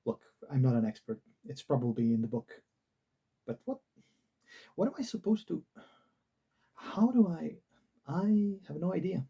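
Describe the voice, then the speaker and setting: A man's voice: on the high side, animated, a little slow, gravelly, pauses often, dark tone, breathy edge, recorded from a distance, dull audio, voice pushed up, exaggerated voice. A man playing a bewildered, overwhelmed main character in a fiction reading. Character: bewildered narrator, overwhelmed by magic.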